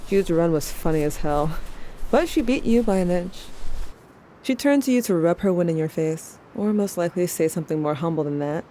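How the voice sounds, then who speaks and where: A wistful, self-doubting teenager, acted by a young woman confides memories in a wry audio drama monologue. The voice is slightly low and soft-toned, very mellow. A faint rumble sits in the recording. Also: expressive, moderate pace, close to an everyday voice, low-quality recording. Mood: nostalgic.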